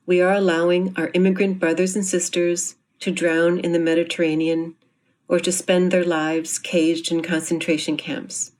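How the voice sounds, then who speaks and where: A woman giving a prepared, formal speech with earnest, measured phrasing. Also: on the low side, little pitch movement, darkish tone. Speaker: speaker introducing a university theatre group.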